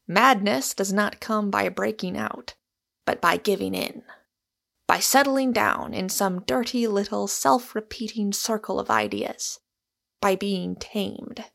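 This is a woman acting a gloomy Irishman from a storybook, sardonic and bitter. The voice is creaky and deliberate, with a deadly calm irony in every phrase. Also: fairly high pitch, voice pushed up, heavily acted. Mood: bitterly calm. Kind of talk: acted audiobook dialogue.

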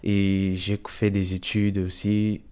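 A young man from Cameroon giving a hesitant, soft-spoken personal testimony in an interview, with many fillers. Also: sits low, completely flat delivery, slow, clear, resonant tone.

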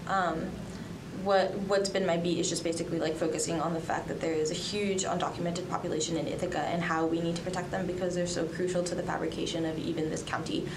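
Young woman, lowish voice, chatty with fillers, far from the mic, roomy echo, background hiss. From Dominican Republic and Colombia. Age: young adult. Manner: chatty, many fillers and hedges. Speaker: student journalist guest. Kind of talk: casual interview answers. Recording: echoey room, distant from mic, noisy.

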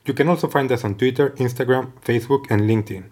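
A man's voice: mid-range voice, fast, darkish tone. Upbeat male podcast host. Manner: upbeat promotional delivery. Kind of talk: scripted podcast intro, then casual interview. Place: office meeting room.

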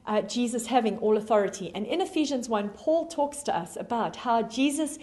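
A woman's voice: on the high side, expressive, brisk. A woman with a South African accent preaching a sermon, telling stories between frequent ums. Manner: warm storytelling with frequent ums. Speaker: pastor.

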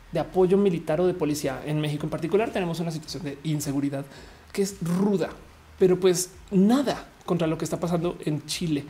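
A woman's voice: sits low, mellow. A woman from Colombia hosting a live talk show, chatting casually with her audience and rambling with many fillers and restarts. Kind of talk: casual commentary on a livestream. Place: lives in Mexico.

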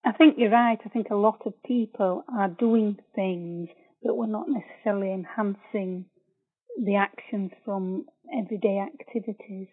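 A woman interviewing a researcher, asking polite, measured questions. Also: mid-range voice, steady tone, slightly rough. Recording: tinny phone line.

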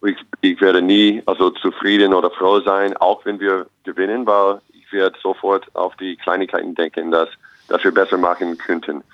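Deep-voiced American man, upbeat coach. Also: level tone, normal speed, no echo, lo-fi sound. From United States. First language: English. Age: young adult. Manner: optimistic, earnest, restarts phrases often. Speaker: basketball head coach. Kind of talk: interview guest.

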